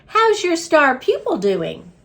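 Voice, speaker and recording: A woman's voice: high-pitched, wildly swooping pitch, slow, fairly bright tone, pitched above the performer's own voice, full character voice. A woman voicing a friendly woman teacher chatting with a colleague in a children's storybook.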